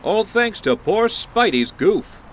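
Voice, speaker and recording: A man's voice: high-pitched, sing-song, slow pace, a little hiss or hum, heavily acted. A man playing a cheeky, showman-like narrator, delivering dramatic campy narration in the style of an old-time superhero serial.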